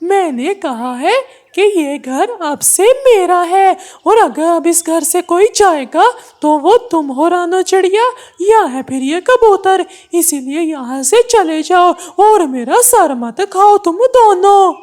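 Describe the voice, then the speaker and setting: A woman's voice: very high-pitched, lively intonation, slow pace, few pauses, darkish tone, heavily acted. A woman voicing a mean, stubborn male crow in a cartoon story, taunting and threatening.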